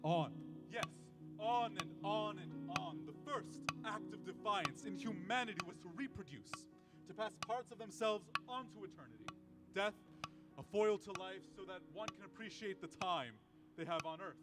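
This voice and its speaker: A man playing a wry, lofty embodiment of death in a radio drama, making grand, measured pronouncements with sardonic jabs. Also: high-pitched, expressive. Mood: solemn musing. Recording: voice under prominent music.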